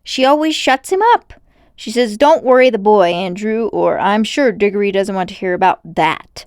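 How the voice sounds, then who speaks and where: A woman voicing an excitable, brooding and adventurous schoolboy in a storybook, speaking emphatically. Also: mid-range voice, moderate pace, smooth, dry recording, studio quality, natural voice. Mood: secretive, earnest. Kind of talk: storybook character voice.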